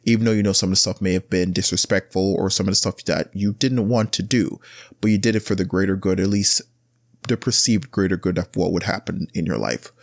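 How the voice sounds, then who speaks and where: A man hosting a casual solo podcast, giving blunt advice in a rambling, conversational way that often jumps up in pitch to stress a point. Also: fairly even intonation, brisk, gravelly, no room sound, clean studio sound. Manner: rambling, with frequent restarts and filler words. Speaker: podcast host.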